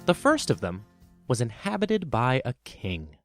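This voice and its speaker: A man narrating a children's tale as a podcast storyteller, with expressive, measured and dramatic phrasing. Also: mid-range voice, no room sound, faint music behind.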